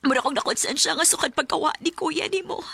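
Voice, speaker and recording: An actress with a Cebuano Filipino accent playing a young widow torn by guilt over new love in a radio drama. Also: female voice, high-pitched, fast, vocal fry, bright, forceful tone, dry recording, full character voice. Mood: apologetic, emotional.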